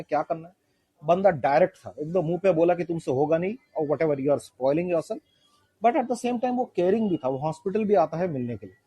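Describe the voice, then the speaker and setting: A man reviews a film conversationally, slipping English phrases into his Hindi. His voice is high for a man and husky, captured dry and close. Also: dark tone, dry recording.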